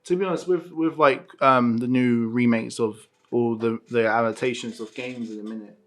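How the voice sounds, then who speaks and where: A man's voice: medium pitch, fairly even intonation, moderate pace. A British man chatting casually as a reviewer, in loose, slangy, informal phrasing. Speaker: film and game reviewer. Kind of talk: casual chat.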